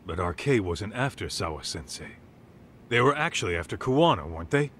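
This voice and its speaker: An American man playing a gruff, blunt, streetwise detective's sidekick in a game cutscene, talking informally. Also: lowish voice, expressive, brisk, fairly bright tone, slight background noise. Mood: blunt, puzzled. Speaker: video game voice actor. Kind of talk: English dub game cutscene dialogue.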